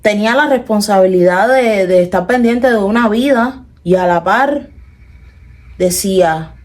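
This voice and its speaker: A young woman with a Cuban accent talks earnestly to her viewers in a personal confessional vlog, often slowing and trailing off as she reflects. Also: medium pitch. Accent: Cuban Spanish. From Cuba. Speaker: vlogger. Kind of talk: personal confessional talk to camera.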